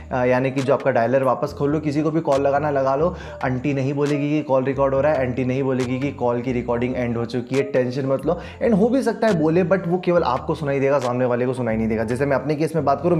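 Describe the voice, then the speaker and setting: An Indian man hosts a casual tech explainer at a middling pitch. He walks the listener through each step in a conversational, reassuring way, switching freely between Hindi and English. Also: darkish tone. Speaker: tech tutorial host.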